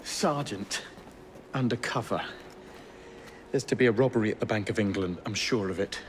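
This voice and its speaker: Actor as low-voiced earnest Victorian police sergeant. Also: male voice, moderate pace, a little hiss or hum, lightly acted. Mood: earnest, confiding. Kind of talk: dramatic game cutscene acting.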